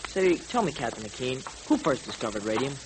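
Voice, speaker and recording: A man's voice: mid-range voice, very animated, fairly quick, a crowd in the background, close to an everyday voice. A male actor in a vintage radio serial playing an eager, polite, curious young boy with wide-eyed, respectful questions. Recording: crackly old radio recording.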